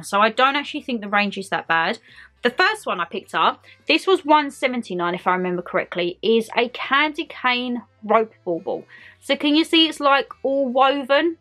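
A young woman, chatting casually to viewers in a haul vlog, a bit congested and hoarse from a cold. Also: sits high, fairly bright tone. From United Kingdom. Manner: bubbly, chatty, talks straight to viewers. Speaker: haul vlogger. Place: bedroom decorated for Christmas, indoors.

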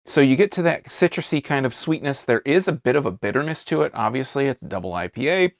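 An American man in his thirties giving a casual, unscripted review to camera, halting and rephrasing as he describes things. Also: mid-range voice, animated, dry recording, studio quality. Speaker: beer reviewer, video host. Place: small indoor studio, seated at a table.

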